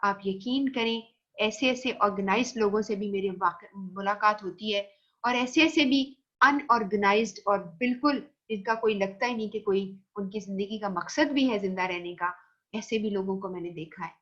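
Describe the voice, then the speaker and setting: A woman's voice: mid-range voice, moderate pace, fairly bright tone. A woman with a Pakistani Urdu accent giving a recorded classroom lecture, explaining patiently and slipping into English phrases. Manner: explains patiently, mixes English phrases, repeats "theek hai" checks. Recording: dull lo-fi recording.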